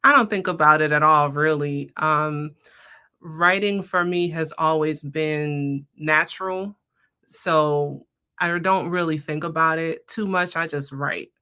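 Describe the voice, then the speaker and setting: A woman's voice: sits low, takes it slowly, pushed and bright, clear, resonant tone. A woman speaking as a podcast guest, giving casual, conversational answers in an explanatory, anecdotal way.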